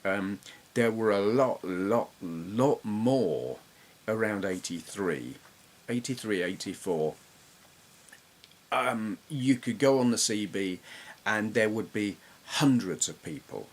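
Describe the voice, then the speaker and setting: A middle-aged man with an English accent giving casual, reflective, slightly halting commentary as he reminisces. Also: on the low side, animated. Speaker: retro gaming YouTuber.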